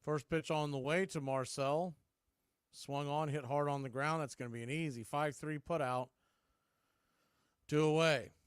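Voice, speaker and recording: A man's voice: a little high, steady tone, measured pace, long pauses, smooth, no echo, studio quality. An American man calling live baseball play-by-play as an announcer.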